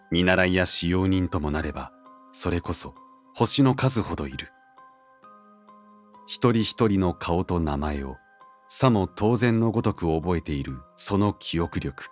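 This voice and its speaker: An actor playing a grim, frightened assassin, narrating his inner thoughts gravely and dramatically in a fantasy audio drama. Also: low voice.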